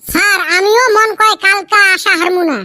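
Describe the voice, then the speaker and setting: A woman's voice: very high-pitched, steady tone, moderate pace, noisy background. A woman voicing cheeky, scheming village schoolboys in a comedy cartoon, arguing and wheedling with mock innocence in a Rajbongshi accent. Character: cheeky, scheming village schoolboy pupils, high-pitched and childlike. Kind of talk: Bengali comedy cartoon dubbing.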